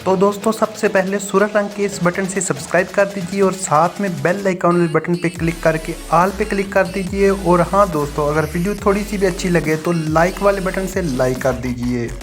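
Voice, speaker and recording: Low-pitched woman reading a channel promo. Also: moderate pace, hardly any pauses, faint music behind. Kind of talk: scripted subscribe reminder.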